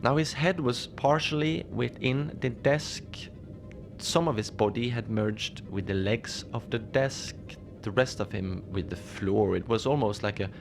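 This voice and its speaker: A man playing a lonely, unbothered polar station keeper, telling strange tales in a halting, understated, conversational way for a fiction podcast. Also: fairly low pitch, vocal fry. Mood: eerie, matter-of-fact. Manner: halting, conversational, understated storytelling with small hesitations. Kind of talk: cozy horror audio fiction monologue. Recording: steady noise behind the voice.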